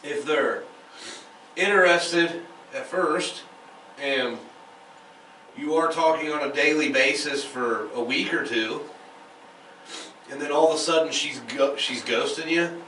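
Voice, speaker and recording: A man's voice: fairly high pitch, slow pace, long pauses, sharp tone. A man co-hosting a podcast, chatting casually and bluntly, often restarting his phrases. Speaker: podcast co-host. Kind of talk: casual reactive chat. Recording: roomy, distant mic, faint noise.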